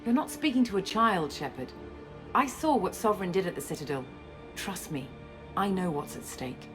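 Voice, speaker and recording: A woman playing a guarded, self-assured scientist and space operative in a video game, explaining things in a measured way. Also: on the low side, expressive, natural voice. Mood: firm, defensive. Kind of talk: video game cutscene acting. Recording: music under the dialogue.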